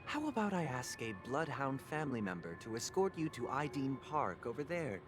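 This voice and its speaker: A man playing a composed, idealistic young gentleman leader in a video game, speaking formally and philosophically. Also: medium pitch, big pitch swings, moderate pace, lightly acted. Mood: polite. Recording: loud music under the voice.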